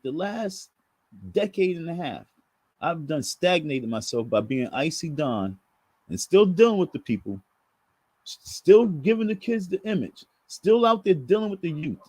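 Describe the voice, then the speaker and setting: A man with a husky voice preaching to his online audience, his pitch swinging widely as he hammers points home over and over. The sound is dry and close, with no room around it. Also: a little mellow, no echo. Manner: emphatic, repetitive, sermon-like. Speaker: online commentator. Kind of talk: preachy casual commentary.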